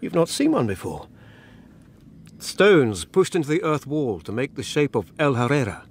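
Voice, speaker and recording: Breezy, animated rabbit host. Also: male voice, mid-range voice, normal speed, slightly rough. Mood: genial, proud. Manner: breezy, boastful. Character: genial, proud male rabbit guide, breezy. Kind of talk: radio drama acting.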